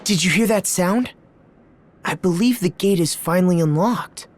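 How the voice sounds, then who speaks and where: A woman's voice: fairly low pitch, moderate pace, a little mellow, slight background noise, lightly acted. A woman voicing an earnest, determined young adventurer in a fantasy video game. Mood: concerned.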